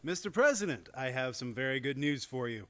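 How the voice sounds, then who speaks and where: An actor in an old-time radio comedy playing a harried, excitable nephew, agitated and prone to stammering. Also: male voice, medium pitch, a little fast. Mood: harried, coaxing. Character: frantic, excitable nephew managing his dotty relatives.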